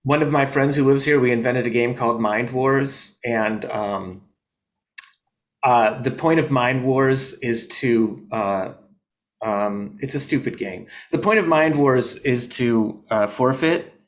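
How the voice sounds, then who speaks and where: A man as a comedian guest in a casual video-call interview, rambling with deadpan jokes and many fillers. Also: medium pitch, slow. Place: his home in New York.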